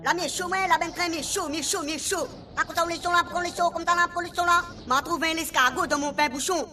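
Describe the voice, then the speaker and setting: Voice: extremely high pitch, steady tone, fast talker, pushed and bright, faint music behind, heavily acted. A performer with a Réunion Creole accent playing a garrulous, over-familiar police sergeant who loves puns, in a comic radio serial. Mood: joking. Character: chatty, joking Réunionese police sergeant, relentless prankster.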